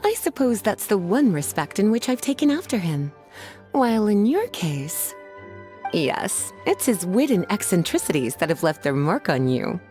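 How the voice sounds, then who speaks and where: A woman's voice: medium pitch, big pitch swings, normal speed, a little mellow, natural voice. A woman voicing an elegant, playfully teasing young scholar in a video game, leisurely and coy, over music. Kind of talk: scripted game dialogue acting.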